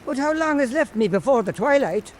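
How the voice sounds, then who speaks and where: A man playing an old island storyteller, telling eerie folk tales in a deliberate, pausing way. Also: very high-pitched, animated, a little hiss or hum, voice pushed up. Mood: gentle, earnest. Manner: slow, deliberate oral storytelling with pauses. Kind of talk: dramatic folk-tale narration.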